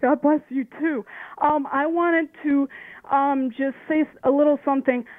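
A woman's voice: sits high, steady tone, normal speed, no echo. A woman from Romania calling a radio show by phone, speaking earnestly and personally. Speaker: phone-in caller. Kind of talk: earnest personal call-in remarks. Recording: narrow-band phone line.

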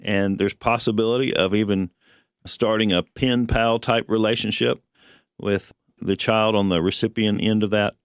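Lower-voiced male co-host, dry studio sound. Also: fairly even intonation, normal speed, clean tone, no room sound. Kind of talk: conversational religious radio talk.

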